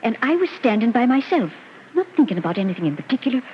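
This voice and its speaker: An actress playing a shaken, earnest female witness, recounting haltingly and dramatically in an old-time radio drama. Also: fairly high pitch, very animated. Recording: dull vintage radio recording, faint noise.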